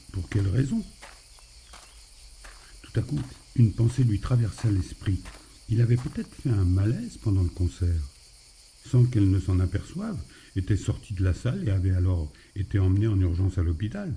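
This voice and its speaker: A man's voice: lowish voice, dark tone, slight background noise, low-quality recording. A man narrating a scripted story.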